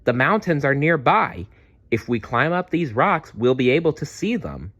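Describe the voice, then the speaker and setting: A little girl dinosaur in a children's storybook , acted by a man, with a raised pitch. She is childlike and chatty, an earnest, careful friend who stays loyal. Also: very animated, moderate pace, fairly bright tone, slightly rough, muffled recording. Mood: encouraging.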